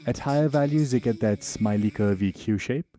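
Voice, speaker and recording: A man narrating a software tutorial in an informal, explanatory way. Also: medium pitch, moderate pace.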